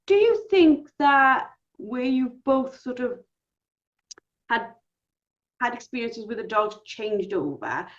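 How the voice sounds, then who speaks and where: A woman co-hosting a podcast, chatting reflectively about dogs, sometimes in quick bursts. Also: fairly high pitch, expressive. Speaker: podcast co-host. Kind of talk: casual chat about dog training. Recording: dull lo-fi audio.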